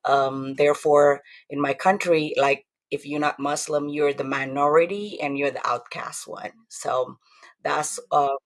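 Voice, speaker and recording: A woman's voice: low-pitched, fairly even intonation, unhurried. A middle-aged woman from Indonesia with an Indonesian accent, telling her life story in a rambling, chatty way with many fillers as an interview guest. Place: home room with decorations behind her.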